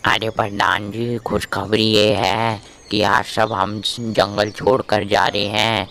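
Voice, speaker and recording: Man playing bright, creaky eager young lion. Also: fairly low pitch, flat delivery, takes it slowly, slight background noise, heavily acted. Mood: eager, coaxing. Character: eager young male lion, insecure and keen to prove himself. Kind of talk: animated moral-story acting.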